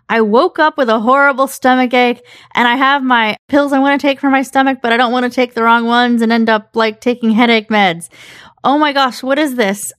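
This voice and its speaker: A woman's voice: on the high side, a bit bright, dry recording, clean studio sound. A woman interviewing in a casual, chatty way, informal and affirming.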